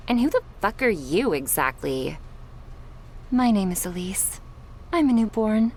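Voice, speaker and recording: A woman plays tense, menacing women of a vampire underworld in an ASMR audio drama. The delivery is slow and confrontational, at a middling pitch. Some background noise sits under the voice. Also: lively intonation, darkish tone. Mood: defiant. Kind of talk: dramatic ASMR roleplay acting.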